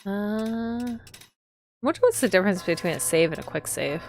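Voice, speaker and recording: A woman's voice: mid-range voice, expressive, normal speed, a little hiss or hum. A woman chatting casually to viewers as she hosts a live game stream. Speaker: streamer and host.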